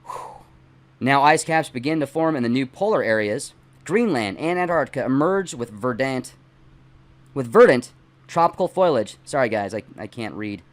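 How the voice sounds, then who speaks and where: Middle-aged man reading aloud with emphatic pauses. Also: mid-range voice, animated. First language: not English. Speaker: host reading a book aloud and commenting. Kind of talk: dramatic document reading with casual asides. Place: small dim indoor home studio.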